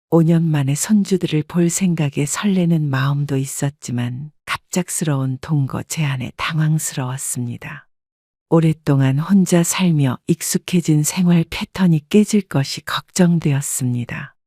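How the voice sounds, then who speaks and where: A woman calmly reading a scripted first-person family story as narrator. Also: low voice, moderate pace, no room sound, studio quality.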